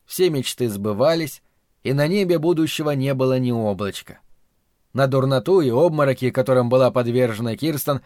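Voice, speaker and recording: A male audiobook narrator reads a literary novel in a measured, even storytelling voice of middling pitch. The recording is dry and close, as from a treated studio with no room echo.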